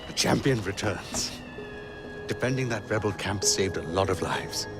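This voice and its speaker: Deep, impassioned male rebel leader. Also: lively intonation, normal speed, over music. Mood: proud, persuasive. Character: passionate, principled male rebel leader, persuasive and proud. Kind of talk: game cutscene acting.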